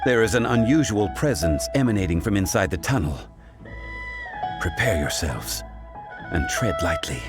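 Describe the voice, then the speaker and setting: A dignified, wise man in a fantasy game, speaking in a lowish voice with formal composure. His commanding lines carry varied intonation over background music. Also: lively intonation, normal speed, pauses often. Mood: composed, commanding.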